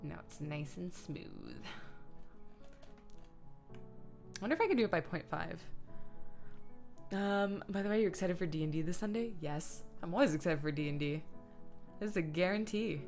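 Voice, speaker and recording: Low-pitched woman streamer with music behind. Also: moderate pace, vocal fry, long pauses, a little mellow. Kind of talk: casual livestream chat while editing images.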